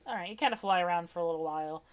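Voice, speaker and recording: A woman's voice: on the low side, racing, clear, resonant tone. A woman running a tabletop game as game master, narrating casually and off the cuff with informal, wry phrasing.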